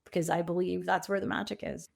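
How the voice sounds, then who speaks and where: A woman's voice: on the low side, fairly even intonation, fast, vocal fry, a little mellow. A middle-aged woman answering interview questions conversationally, in a reflective, earnest way with emphasis on key words. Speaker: podcast guest, coach and author.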